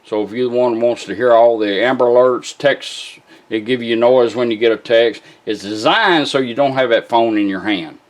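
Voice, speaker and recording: A man's voice: medium pitch, moderate pace. A man giving a casual, informal hands-on product demonstration in a folksy way. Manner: folksy, informal, talks to the gadget.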